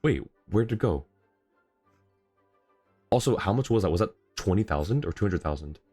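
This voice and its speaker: A man's voice: low-pitched, expressive, normal speed, warm tone, slightly rough, no echo, faint music behind. A man livestreaming a game in a casual, chatty way, thinking aloud and talking to his chat.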